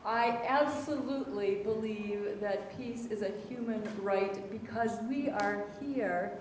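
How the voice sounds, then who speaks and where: A woman from Michigan speaking from the audience in a measured, deliberate way. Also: medium pitch, darkish tone. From Michigan, USA. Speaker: audience member. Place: lecture hall with audience. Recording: echoey hall, distant mic, room noise.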